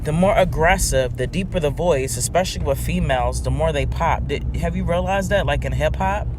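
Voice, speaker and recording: A woman with a deep, commanding voice rambling casually to her viewers while walking her dog outside at night. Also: fairly quick. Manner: rambling, lots of ums and asides. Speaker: vlogger. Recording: outdoor street noise with passing cars.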